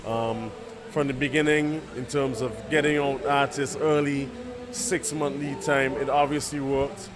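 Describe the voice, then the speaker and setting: A man answering interview questions as an event chairman, halting and repeating his words. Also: medium pitch, flat delivery. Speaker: festival committee chairman being interviewed. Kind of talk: spontaneous on-location interview. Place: at a music festival at night. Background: live concert music.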